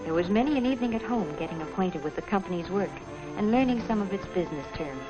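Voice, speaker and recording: An actress playing a polite, earnest young American office worker, narrating a 1950s training film in a measured, proper way. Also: female voice, mid-range voice, lively intonation, close to an everyday voice. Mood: reflective, earnest. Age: young adult. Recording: dull 1950s film soundtrack, music behind.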